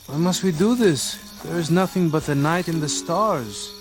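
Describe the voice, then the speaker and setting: An actor playing a skeptical, self-assured village deputy in rural Mexico, protesting and plain-spoken in a radio drama. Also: male voice, a little high, moderate pace, lightly acted, poor audio. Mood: reluctant, assured. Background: crickets and music behind the voice.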